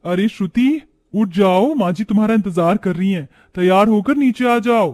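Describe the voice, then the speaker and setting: Man voicing a high, gentle dutiful village daughter-in-law. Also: normal speed, close to an everyday voice. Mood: urging. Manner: respectful, reassuring.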